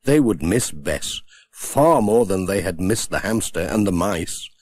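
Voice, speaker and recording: A man reading a children's storybook aloud with gentle, expressive storytelling. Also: low voice, a little slow, vocal fry, slightly rough. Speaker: audiobook narrator.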